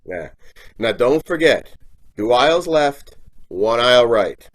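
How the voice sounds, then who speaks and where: A man's voice: medium pitch, expressive, slow. A man playing a bossy, slightly dim wise-guy boyfriend in an old-time radio comedy. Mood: bossy, casual.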